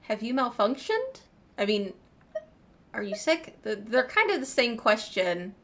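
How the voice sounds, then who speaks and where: A woman's voice: on the high side, sing-song, measured pace. A woman giving casual, chatty playthrough commentary with wry asides as a gaming host.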